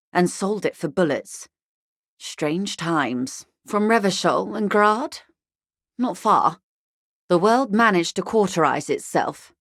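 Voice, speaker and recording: An actress playing a worldly older upper-class woman in a video game, speaking in a measured, wry, faintly condescending manner. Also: fairly low pitch, no echo, clean studio sound. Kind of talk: video game character dialogue.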